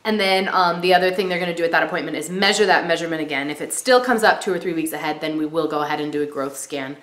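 A woman in her thirties giving a casual vlog update, chatty with many ums and likes, a little stuffy from a cold and clearing her throat. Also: low voice, racing, fairly bright tone. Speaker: vlogger. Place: small room indoors. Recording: roomy echo.

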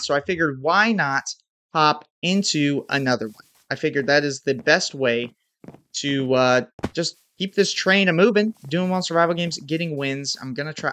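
A man's voice: on the high side, pushed and bright. Young male gamer, stuffy nose, headset mic, home room, hyped intros and muttered play-by-play. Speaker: gaming YouTuber. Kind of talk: casual gameplay commentary.

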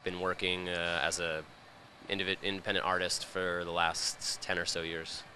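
A man in his thirties explaining his craft in an interview, matter-of-fact with pauses between phrases. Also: low voice, little pitch movement, very noisy. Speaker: glass artist and instructor. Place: large indoor glass studio. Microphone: handheld interview microphone.

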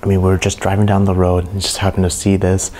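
A young man narrating a walkthrough of an abandoned mansion to a handheld camera, hushed and awed by what he finds. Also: low voice, monotone, fast, a little hiss or hum. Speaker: urban explorer vlogger. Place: inside a large abandoned mansion.

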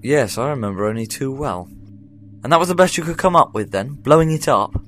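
A man playing an eccentric time-travelling doctor, brisk and exclamatory, in a fan audio drama. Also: medium pitch, lively intonation, fairly bright tone, a little hiss or hum, natural voice.

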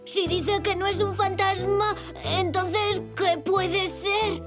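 A woman's voice: very high voice, fairly even intonation, takes it slowly. A woman with a Castilian Spanish accent playing a curious, earnest five-year-old boy zebra in a children's cartoon. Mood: curious, puzzled. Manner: inquisitive, earnest questioning. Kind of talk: animated children's cartoon dub. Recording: music behind the voice.

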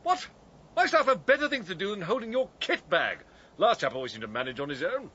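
Blustering, forceful lord. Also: male voice, high-pitched, sing-song, fairly quick, highs cut off, a little hiss or hum, full character voice. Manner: blustering, pompous. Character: irascible, imperious male country lord. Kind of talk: radio drama acting.

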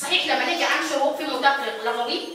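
Play it quick and bright: an Egyptian woman moderating a panel, firm and talkative, in a roomy hall. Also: high voice, slight background noise. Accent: Egyptian Arabic. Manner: quick, insistent, explains her view at length. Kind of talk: panel discussion. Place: art gallery event room, seated at a table. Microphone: handheld mic, heard from a distant camera. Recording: echoey gallery from far off.

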